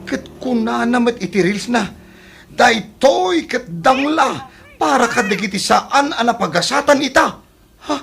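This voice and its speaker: A woman with an Ilocano accent acting a touchy, indignant sore loser in a radio comedy drama, gravelly and sputtering. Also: on the high side, animated, unhurried, a bit bright, full character voice. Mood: puzzled, gossipy. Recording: music behind the voice.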